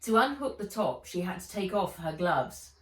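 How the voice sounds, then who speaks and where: Female storybook narrator, measured and expressive, slightly low voice, roomy sound from a little way off the mic. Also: recorded from a distance. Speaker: author reading their own book. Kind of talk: children's audiobook narration.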